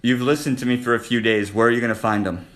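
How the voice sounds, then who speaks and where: A man's voice: mid-range voice, steady tone, fast, fairly bright tone. A man hosting a casual relationship chat, asking blunt, teasing and probing questions. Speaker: host.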